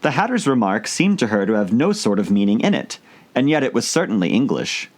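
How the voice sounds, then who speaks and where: A man narrates a classic storybook in a mid-pitched voice. His delivery is highly animated, with big swings in intonation suited to an audiobook. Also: brisk. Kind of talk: expressive scripted storybook narration.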